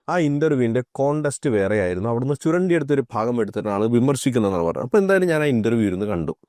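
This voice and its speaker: A man delivers reaction commentary at a brisk pace in a dry, close-sounding recording. His manner is critical and argumentative, and his pitch sits in an ordinary middle range. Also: darkish tone, dry recording. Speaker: reaction video host. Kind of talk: spoken commentary.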